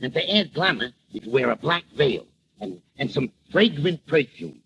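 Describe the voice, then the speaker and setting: An actor playing a brash, slangy, wisecracking manager sidekick in a 1940s radio comedy. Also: male voice, on the high side, sing-song, moderate pace, a bit bright. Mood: emphatic coaxing. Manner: slangy, uneducated, emphatic wisecracking. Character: brash, streetwise male manager and sidekick, advising his boss. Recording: muffled old radio recording with hiss.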